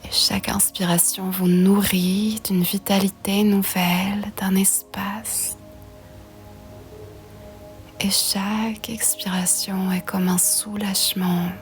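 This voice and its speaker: Slow, half-whispered woman guiding relaxation. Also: mid-range voice, level tone, faint music behind. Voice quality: soft, breathy, half-whispered. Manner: slow, soothing, gentle. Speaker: yoga nidra guide. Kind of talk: guided relaxation meditation.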